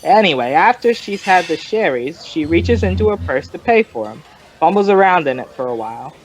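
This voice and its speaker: An actor playing a folksy, rambling male informant who tells his story in colloquial, drawn-out fashion, in old-time radio crime drama style. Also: on the high side, big pitch swings, low-quality recording.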